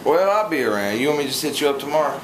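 A young man making a prank phone call from a home kitchen at night, talking casually into a phone held close, teasing and smooth-talking with plenty of slang. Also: medium pitch, animated, very fast. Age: young adult. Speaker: prank caller. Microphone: phone held close to mouth, camera close by. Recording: noisy home recording.

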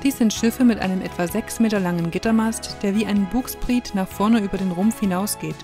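Female narrator, scripted travel voice-over, medium pitch, background music. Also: brisk, mellow. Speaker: vlog narrator.

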